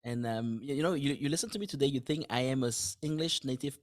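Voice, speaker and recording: A man's voice: medium pitch, quick pace. French-native man chatting as a guest. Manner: thinks aloud in simple words, with frequent restarts and light laughs. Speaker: podcast guest, dentist and author. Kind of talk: casual interview conversation.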